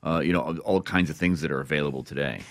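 A man's voice: rumbling low, fast, a bit bright, no room sound. A man with an American accent hosting a radio show, presenting scripted intros and interviewing in a studio.

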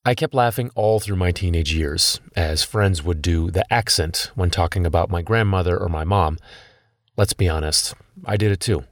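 Deep-voiced male podcast narrator. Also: no echo, studio quality. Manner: personal, measured storytelling. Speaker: podcast host. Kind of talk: scripted documentary narration.

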